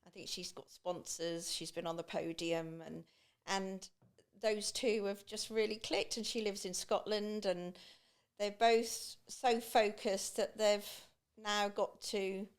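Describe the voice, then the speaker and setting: A woman talking casually as a podcast guest. Her voice sits at a middling pitch. She chats on with many restarts and fillers. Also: level tone, slow, clean tone. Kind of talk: casual conversation.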